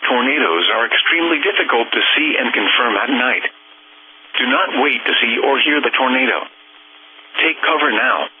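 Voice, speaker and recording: A synthetic man's voice reading automated emergency weather alerts in a formal, measured, impersonal way. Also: medium pitch, lively intonation. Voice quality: synthetic text-to-speech voice. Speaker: weather radio announcer. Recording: tinny noisy weather radio broadcast.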